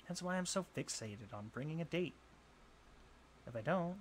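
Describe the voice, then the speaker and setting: A man's voice: a little high, a little hiss or hum. A young man playing a jealous, insecure college bully in a roleplay audio drama, scoffing, sighing and defensive. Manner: scoffing, sighing, swearing, halting when flustered.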